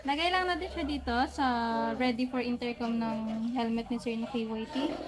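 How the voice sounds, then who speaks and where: A woman's voice: fairly high pitch, fairly even intonation, normal speed, a crowd in the background, low-quality recording. A woman with a Filipino accent casually explaining a hands-on installation step by step. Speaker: intercom installer demonstrating the job. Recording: music playing behind.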